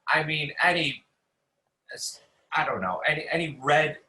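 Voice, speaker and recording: American male chef, bright forceful voice, casual interview guest who doubles back on his words, roomy echo. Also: fairly high pitch, lively intonation, measured pace. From New York City, United States.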